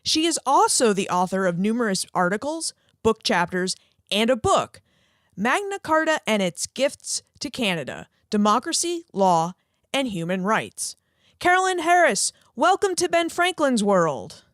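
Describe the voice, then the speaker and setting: A woman's voice: fairly high pitch, unhurried, no room sound, clean studio sound. A woman hosting a history podcast, reading her intro and questions in an upbeat, scripted way. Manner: lively, upbeat, reads from script. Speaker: podcast host. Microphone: re-recorded studio voice-over.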